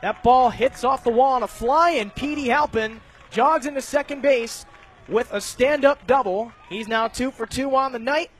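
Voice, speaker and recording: A man giving live baseball radio play-by-play commentary, narrating each pitch in measured detail. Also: some chatter behind. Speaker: play-by-play announcer.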